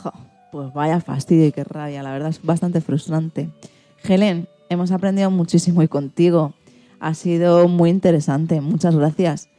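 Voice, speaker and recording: Low, mellow woman radio host. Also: steady tone, moderate pace, no echo, faint music behind. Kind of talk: scripted presenting and interviewing.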